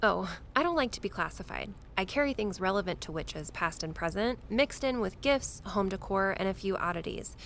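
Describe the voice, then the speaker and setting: A woman's voice: medium pitch, lively intonation, normal speed, hardly any pauses, a bit bright, slight background noise. A woman playing a wry, witchy shopkeeper in an audio drama, with playful dry humor and faint ambience behind her. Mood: playfully dry. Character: wry, witchy middle-aged woman shopkeeper selling esoteric curios.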